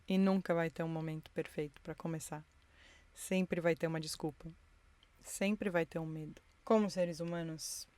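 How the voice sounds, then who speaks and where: Woman, low voice, dry close sound in a car, intimate reflective solo host. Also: no echo. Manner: reflective, encouraging, speaks directly to listeners. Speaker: podcast host. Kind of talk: intimate solo podcast monologue. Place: inside her car.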